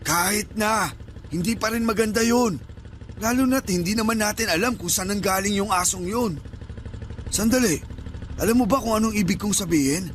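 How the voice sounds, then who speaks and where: A man's voice: high voice, moderate pace, slight background noise, lightly acted. A Filipino man playing a nervous, superstitious middle-aged uncle who scolds and nags in a radio drama. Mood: uneasy, nagging. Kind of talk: Tagalog radio drama acting.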